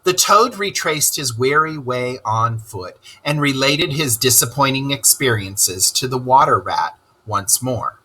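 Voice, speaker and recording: A man reading a story aloud to children in an expressive narrating style. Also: medium pitch, unhurried, few pauses, husky, low-quality recording.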